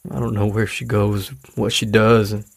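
An actor playing a troubled, frustrated middle-aged father in a naturalistic audio drama, speaking haltingly and downcast. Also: fairly low pitch, flat delivery, vocal fry, slightly rough, dry recording, clean studio sound. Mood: dejected, frustrated. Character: weary, troubled, frustrated widowed father of a teenager.

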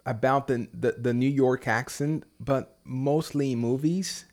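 A man's voice: medium pitch, fairly even intonation, a little slow, darkish tone. Brazilian man speaking English, friendly. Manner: friendly and curious, asks questions with many "um"s. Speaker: guest, English teacher. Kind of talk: casual conversation.

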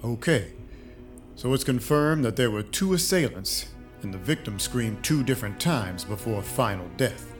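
A man's voice: medium pitch, expressive, normal speed, natural voice. An actor playing a wry, hard-boiled vampire detective in an audio drama, dry, matter-of-fact and clipped in his delivery. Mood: dry, focused. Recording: music under the voice. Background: music.

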